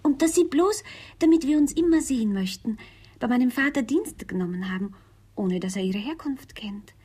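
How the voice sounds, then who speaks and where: A gentle young lover in a classical radio comedy. Her voice sits fairly high and is soft-edged and breathy. She sounds earnest, prudent and devoted. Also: animated, normal speed. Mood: tender.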